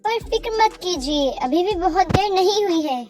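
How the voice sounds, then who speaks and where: Woman acting insolent high-pitched young daughter-in-law. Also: lively intonation, moderate pace, music in the background. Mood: angry, defiant. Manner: defiant, snappy, mixes in English. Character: insolent, rebellious young married daughter in western clothes.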